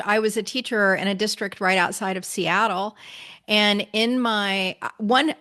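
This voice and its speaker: A woman's voice: mid-range voice, pushed and bright. An American woman hosts a podcast conversation in an ordinary mid-range voice. She speaks thoughtfully and agreeably, leaning on fillers as she reflects. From United States.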